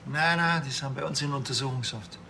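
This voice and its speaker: Creaky, deadpan Bavarian policeman. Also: medium pitch, fairly quick, slight background noise. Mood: deadpan, teasing. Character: dry, teasing male small-town police officer.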